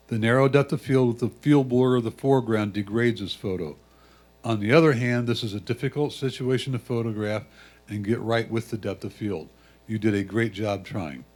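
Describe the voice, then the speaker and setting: A man reading a judge's written photo critiques aloud at a club competition. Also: medium pitch. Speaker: reader of judges' comments.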